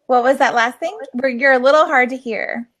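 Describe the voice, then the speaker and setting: A woman's voice: high voice, a little fast, fairly bright tone. A woman casually hosting a live online video meetup, with a chatty, informal way of talking.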